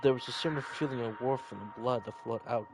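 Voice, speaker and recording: A man reading a visual novel story aloud in a deliberate, dramatic narration, as a game player. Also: medium pitch, noisy background.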